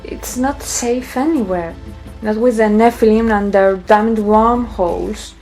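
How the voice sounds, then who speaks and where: A woman acting in a sci-fi audio drama as a resolute, stubborn pregnant pilot, speaking calmly and firmly. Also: mid-range voice, faint music behind, lightly acted. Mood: resolute, reasoning. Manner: deliberate, firm.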